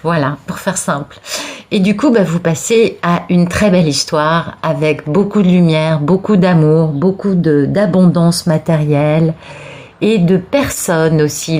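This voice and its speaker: French lady with a cold doing a tarot reading, lowish voice, lots of repeats and restarts. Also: a little slow, darkish tone. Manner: repeats words, many restarts and fillers. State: has a cold, sneezing. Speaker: tarot reader. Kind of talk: tarot card reading for viewers.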